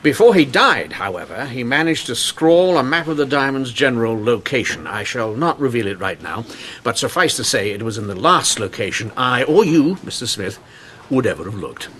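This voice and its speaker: A man's voice: medium pitch, very animated, moderate pace, a bit bright, a little hiss or hum. A man playing a shrewd, formally courteous police inspector in an old-time radio mystery, with a hesitant, old-fashioned way of talking. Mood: assured, explanatory. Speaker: actor.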